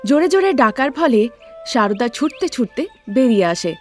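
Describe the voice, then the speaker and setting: A woman's voice: a little high, big pitch swings, moderate pace, faint music behind. A woman narrator telling a cartoon story in a scripted storytelling style.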